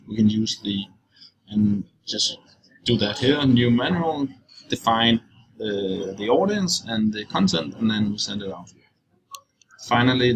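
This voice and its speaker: Halting low-voiced young man, noisy recording. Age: young adult. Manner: halting, loosely explaining as he goes. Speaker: software walkthrough presenter. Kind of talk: informal screencast walkthrough. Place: indoors, at a computer. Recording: clearly noisy screencast recording.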